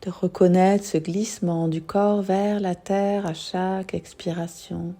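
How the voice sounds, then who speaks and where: A woman guiding a yoga nidra meditation in a soothing, unhurried manner, leaving long pauses. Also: lowish voice, steady tone, warm tone. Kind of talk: guided meditation.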